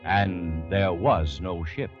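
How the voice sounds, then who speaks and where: An actor playing a grave storyteller narrating a 1940s radio suspense drama, with deliberate, dramatic phrasing. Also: male voice, sits low. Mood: grave, ominous. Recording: dull 1940s radio recording, music underneath.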